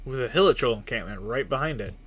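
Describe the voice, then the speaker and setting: A woman's voice: a bass voice, lively intonation, fast, a bit bright, a little hiss or hum. A woman streaming a game, chatting casually and thinking aloud about the story. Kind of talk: casual gameplay commentary.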